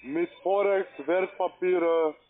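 Higher-voiced foreign man, tinny phone line. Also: fairly even intonation. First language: not German. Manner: halting, broken grammar. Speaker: call-center agent, cold caller. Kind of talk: phone sales talk. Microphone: telephone.

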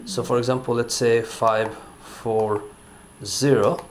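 A man's voice: medium pitch, takes it slowly. Easygoing man reviewing a gadget. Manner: relaxed, filler-heavy, says "you know" often. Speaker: product reviewer. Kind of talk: casual hands-on product demonstration. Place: indoor room at a table.

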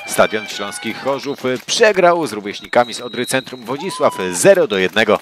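A man giving a scripted voice-over of a youth football match, at a middle pitch. His delivery is even, in a steady reporting manner. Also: moderate pace, noisy background.

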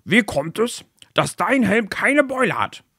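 A man voicing a hot-tempered fairy-tale king who booms out orders in a bedtime story. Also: sing-song, a little slow, creaky, bright, forceful tone, husky, no room sound, clean studio sound, heavily acted. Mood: curious. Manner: booming, commanding.

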